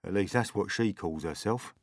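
A man voicing a bossy, bad-tempered male centipede who shouts shrilly, in a storybook reading. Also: low-pitched, moderate pace, no room sound, studio quality. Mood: lecturing, impatient.

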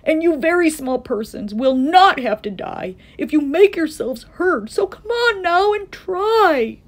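A woman's voice: mid-range voice, big pitch swings, slow, warm tone. A woman voicing an earnest, loyal, big-hearted male elephant in a storybook, emphatic and pleading. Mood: desperate encouragement.

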